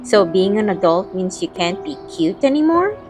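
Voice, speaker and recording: A woman's voice: medium pitch, sing-song, moderate pace, natural voice. An actress playing a spirited, affectionate young woman who teases her childhood friend in an audio drama. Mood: teasing, indignant. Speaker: first-person narrator. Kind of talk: scripted audio drama narration and dialogue. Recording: music bed behind voice. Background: music.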